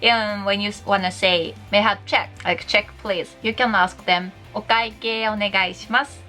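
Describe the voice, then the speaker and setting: A woman's voice: medium pitch, steady tone, normal speed, pushed and bright, soft background music. A young woman from Japan, speaking English with a Japanese accent, giving a bubbly, chatty video lesson and laughing often. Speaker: Japanese language teacher.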